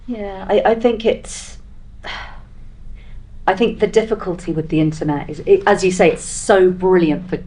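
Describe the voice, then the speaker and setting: A woman's voice: mid-range voice, moderate pace, darkish tone. A woman taking part in a casual panel chat as a podcast guest, speaking in a thoughtful, earnest way. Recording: clearly noisy background.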